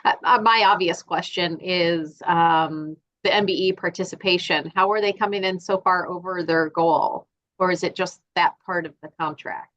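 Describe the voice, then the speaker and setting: A woman chairing a formal board meeting. She calls votes in brisk, procedural phrases. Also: on the low side, steady tone, fairly bright tone. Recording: dull, lo-fi sound.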